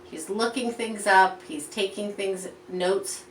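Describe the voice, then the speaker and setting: A woman reading a picture book aloud to children in an expressive, teacherly way, pausing to explain and point things out. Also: mid-range voice. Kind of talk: read-aloud storytelling with explanatory asides. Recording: roomy echo, distant mic, faint background noise.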